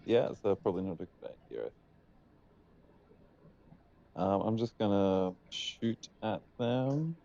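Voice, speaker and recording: A man with a low, dark voice takes his turn in a tabletop game. He speaks slowly and tentatively, low-key and casual. The audio is slightly dull. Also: gravelly. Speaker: tabletop role-playing game player.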